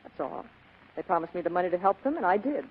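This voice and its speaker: A woman's voice: fairly low pitch, expressive, fast, slight background noise, lightly acted. A woman playing an evasive, pleading femme fatale and practiced liar in a 1940s radio drama. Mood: evasive, explaining. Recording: tinny muffled 1940s radio broadcast.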